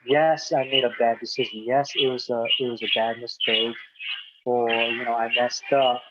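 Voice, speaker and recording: A man's voice: mid-range voice, flat delivery, normal speed, dull audio, very noisy. A man from Hungary, guest on an interview, talking reflectively with many fillers and repeated words. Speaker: guest speaker. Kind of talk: casual interview talk.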